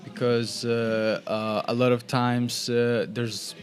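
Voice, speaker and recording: Slow, hesitant man. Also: mid-range voice, level tone, soft background music. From Italy. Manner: hesitant, many fillers. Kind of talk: podcast discussion.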